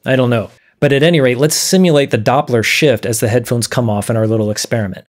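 Guy in his 30s explaining stuff to camera, methodical, normal mid pitch voice. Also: steady tone, fast. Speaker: presenter of an audio science series. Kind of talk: explanatory video lesson to camera. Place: small dim room, indoors.

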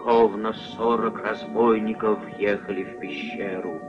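A man narrating a puppet-film fairy tale as a wry, measured, expressive storyteller. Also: on the low side, lightly acted. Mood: wry, storytelling. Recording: dull old film soundtrack, music behind.

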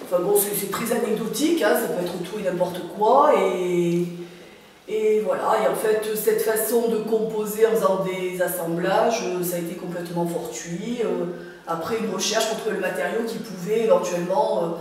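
A middle-aged woman from French Guiana giving an informal interview about her gallery, speaking hesitantly with long pauses as she searches for words. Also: mid-range voice, darkish tone. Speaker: artist and gallery owner being interviewed. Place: indoor art gallery with hard floor. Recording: very echoey room, distant mic, faint noise.

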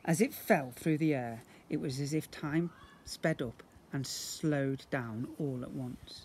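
A British man in his fifties reads a children's storybook aloud like a teacher, theatrically, with drawn-out emphasis and acted sound words. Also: a little high, darkish tone. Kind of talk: expressive children's storybook reading. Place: outdoors beside brick houses. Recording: noisy outdoor amateur video recording.

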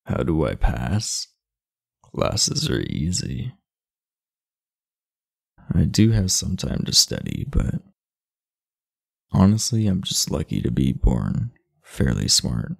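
A man acts out a sleepy, irritable delinquent schoolboy in an intimate ASMR roleplay. His deep voice is husky and slow, yet it moves around a lot in pitch. He talks straight to the listener, blunt, sardonic and guarded. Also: wildly swooping pitch, no echo, clean studio sound, full character voice. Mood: guarded, candid. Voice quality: gravelly, low. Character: aloof, irritable, sleepy teenage boy, class delinquent.

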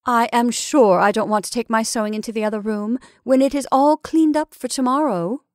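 A woman's voice: a little high, fairly quick, darkish tone, no echo, clean studio sound, lightly acted. A woman reading the part of an impatient, peremptory married woman in a story, insistent and scolding. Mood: irritated.

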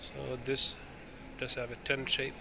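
A man filming in an indoor exhibition hall, reading the display panels aloud slowly, pausing between phrases and restarting when he slips. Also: medium pitch, monotone, gravelly, noisy background. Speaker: video host touring an exhibition. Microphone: handheld phone camera. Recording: loud music in the hall. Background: music playing.

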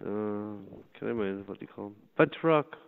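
Northern Irish man, Derry accent, smooth clear voice over a narrow phone line; relaxed, bantering venue staffer. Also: lowish voice, a little fast. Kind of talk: casual phone conversation. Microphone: telephone.

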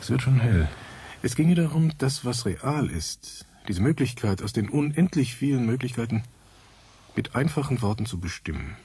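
An actor playing a brooding, philosophical narrator in a radio drama, speaking in an emphatic, deliberate, questioning way. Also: male voice, medium pitch, lively intonation, warm tone, breathy edge, no room sound.

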